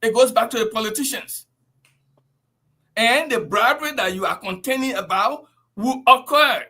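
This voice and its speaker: A man with a Liberian accent hosting a talk show, putting quick probing questions. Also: very high-pitched, bright, forceful tone, breathy edge.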